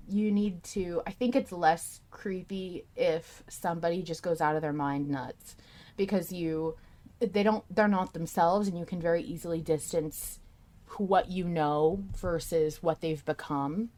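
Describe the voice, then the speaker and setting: Low-pitched, informal woman podcaster. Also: steady tone, a little slow, darkish tone. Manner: informal, swearing freely. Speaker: podcast host. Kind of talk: casual fan discussion with co-host.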